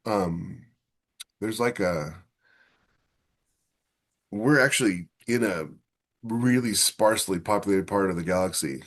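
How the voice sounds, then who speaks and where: A man's voice: fairly low pitch, slow pace, long pauses, a bit bright. A man co-hosting a podcast in casual conversation, tentative and often searching for his words. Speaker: podcast co-host. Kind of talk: casual discussion of speculative science for fiction.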